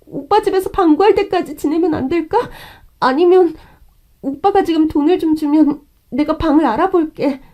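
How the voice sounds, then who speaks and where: Young manipulative sister-in-law, whiny and pleading, very high voice, played by a woman. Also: moderate pace, mellow.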